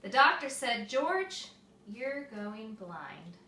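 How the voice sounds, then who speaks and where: A woman reading a picture book aloud to young children, expressive and explaining things simply, like a mother at storytime. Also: fairly high pitch, slow. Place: echoey room with small children present. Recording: echoey room, distant mic, faint noise.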